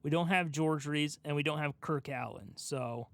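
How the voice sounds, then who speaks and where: Male podcast co-host, higher-pitched voice, informal and animated, recorded close and dry. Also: normal speed, no room sound. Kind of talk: casual chat.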